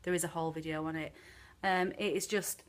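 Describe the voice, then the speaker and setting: A woman's voice: fairly low pitch, fairly even intonation, fairly quick. A woman with a British accent giving a casual, frank candle review, a little chesty from a cold. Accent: British English. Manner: chatty, frank, many ums. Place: home room with candles burning.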